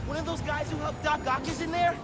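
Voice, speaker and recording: Anxious teen superhero, high voice, music and crowd noise loud behind. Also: male voice, steady tone, fast. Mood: eager. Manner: eager, self-doubting, stammers when upset. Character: teen male superhero apprentice, eager and anxious. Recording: game audio over loud score.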